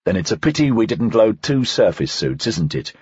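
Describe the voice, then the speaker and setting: A man's voice: fairly low pitch, big pitch swings, normal speed, creaky, a little mellow, husky, no echo, dull audio, full character voice. A man voicing a weary, stern senior prefect in an audiobook, speaking in a terse, dry, formal way. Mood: dry, curt. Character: weary, stern male senior police prefect, dryly sardonic. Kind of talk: audiobook character dialogue.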